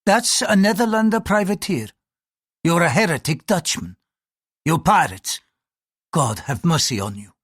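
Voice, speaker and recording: An audiobook reader voicing an irritable, fanatical Portuguese priest who speaks in an impatient, accusing, forceful way. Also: mid-range voice, lively intonation, unhurried, pauses often, slightly rough, dry recording, clean studio sound, voice pushed up.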